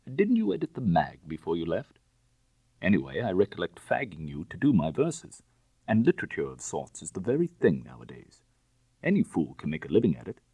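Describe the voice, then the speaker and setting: A man voicing an urbane, unruffled young gentleman burglar in an audiobook story, with a suave, probing manner. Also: low voice, huge pitch swings, a little fast, slightly rough, no room sound, full character voice.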